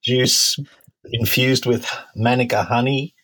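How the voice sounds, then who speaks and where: A man's voice: medium pitch, level tone, slow, fairly bright tone, dry recording, rough recording. A man co-hosting a podcast, joking with his co-host in a dry, teasing way. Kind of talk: casual chat.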